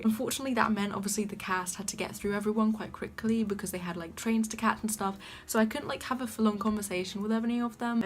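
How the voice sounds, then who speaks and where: A young woman with a soft voice, gushing enthusiastically about a show she loved in a casual vlog. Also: medium pitch. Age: young adult. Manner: gushing, enthusiastic fan talk. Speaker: vlogger. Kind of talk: casual vlog chat to camera. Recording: faint background music.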